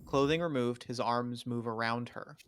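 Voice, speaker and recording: A man's voice: medium pitch, fairly even intonation, normal speed, smooth. A man narrating, reading out screenplay stage directions in short, scripted phrases.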